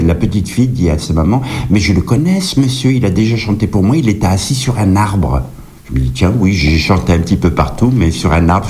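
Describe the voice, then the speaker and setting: A deep, dark-toned middle-aged man from Kabylie, talking as a songwriter guest in an interview. He tells his stories at an easy pace, stumbling and repeating words before he finds his phrasing. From Kabylie, Algeria. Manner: hesitant, repeats words and restarts. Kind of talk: relaxed interview answers, anecdotal.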